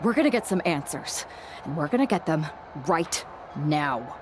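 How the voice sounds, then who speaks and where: A woman's voice: low voice, big pitch swings, normal speed, noisy background. A woman playing a tough, angry wrestling rookie and former fighter in a game cutscene, speaking with dry sarcasm. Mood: determined. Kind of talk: video game cutscene acting.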